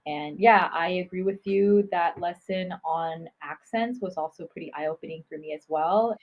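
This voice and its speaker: A woman's voice: fairly low pitch, normal speed, lo-fi sound. Enthusiastic woman student encouraging a peer. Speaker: student giving peer feedback. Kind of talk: recorded video reply to a classmate.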